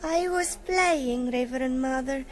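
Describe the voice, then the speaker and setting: High-pitched innocent young Spanish girl. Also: measured pace. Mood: meek, polite. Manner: artless, frank, playful. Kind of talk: radio drama acting.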